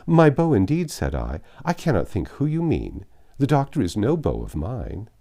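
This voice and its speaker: A man's voice: medium pitch, huge pitch swings, moderate pace, mellow, voice pushed up. A man voicing a vain, silly chatterbox of a woman in an affected, simpering manner for a novel reading. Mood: coy, simpering.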